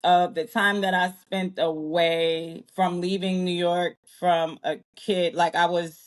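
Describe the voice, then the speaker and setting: A woman in her early forties, originally from Jamaica, talking as a podcast guest. Her voice sits a little low, and she tells her story in loose, circling sentences, stopping often to correct herself and laughing along the way. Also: level tone, takes it slowly. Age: early 40s. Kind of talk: casual interview chat.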